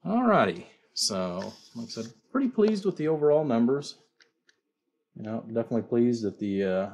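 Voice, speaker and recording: A man with a very deep voice walks through an informal product demonstration. He speaks as the builder and seller, rambling and leaning on repeated stock phrases as he explains. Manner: rambling, repeats "you know" and "like I said". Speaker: amplifier builder and seller presenting his work.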